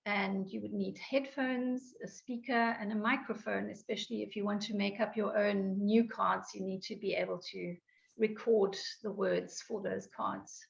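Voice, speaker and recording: A woman giving an online software training, explaining with frequent ums, her voice going croaky. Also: mid-range voice, steady tone, moderate pace. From South Africa. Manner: explanatory, many ums and restarts. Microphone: video call. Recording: dull lo-fi online call audio.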